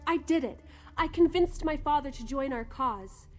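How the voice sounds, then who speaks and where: A woman's voice: high-pitched, expressive, moderate pace, darkish tone, soft background music. A woman playing an earnest, eager young female fighter in a video game, talking in a conversational way. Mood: triumphant. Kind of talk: scripted video game character dialogue.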